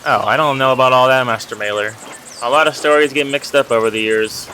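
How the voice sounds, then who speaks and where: A man's voice: mid-range voice, fairly quick, pushed and bright, a little hiss or hum, close to an everyday voice, low-quality recording. A man voicing a friendly, humble village miller in a video game, chatting in a folksy way about old legends. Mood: warm, reflective. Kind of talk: reading video game dialogue aloud.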